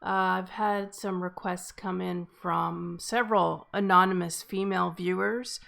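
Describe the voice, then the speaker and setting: Woman with heavily creaky voice. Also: lowish voice, steady tone, measured pace, a bit bright. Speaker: table read host. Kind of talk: casual live-stream hosting.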